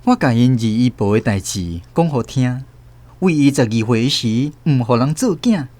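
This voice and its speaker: A man's voice: mid-range voice, expressive, moderate pace, warm tone, lightly acted. An actor with a Taiwanese accent playing a reflective man narrating his family's past in a scripted radio story. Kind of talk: literary scripted first-person story narration. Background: music under the voice.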